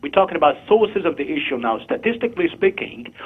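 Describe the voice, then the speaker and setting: A man's voice: fairly high pitch, big pitch swings, fast, husky. A man in his fifties from Morocco, calling in to a talk show and explaining his view in earnest, insistent short phrases. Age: 50s. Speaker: caller. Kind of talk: call-in discussion. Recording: tinny phone line.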